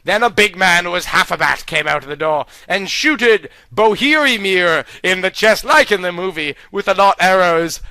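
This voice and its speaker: A man reading a clumsy fan story aloud in a deadpan, mock-earnest comic style, close to the mic. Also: high-pitched, normal speed, few pauses, no echo. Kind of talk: comedic dramatic reading.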